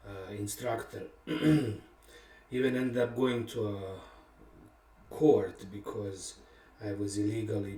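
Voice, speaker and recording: A man of middle years, a songwriter, recounts the story behind a song in a low, easygoing voice. He talks candidly and reflectively, pausing often and chuckling at himself. The mic sits some way off, leaving a roomy echo around him. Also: dark tone. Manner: reflective and candid, with many pauses and fillers, laughing at himself. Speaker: songwriter and musician. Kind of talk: casual personal storytelling about a song. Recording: roomy sound from a distant mic.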